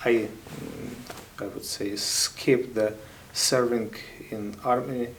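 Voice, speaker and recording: Soft-spoken Ukrainian-accented man, halting. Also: mid-range voice, fairly even intonation, slight background noise. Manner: halting, thoughtful, with many pauses and fillers. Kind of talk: interview answers.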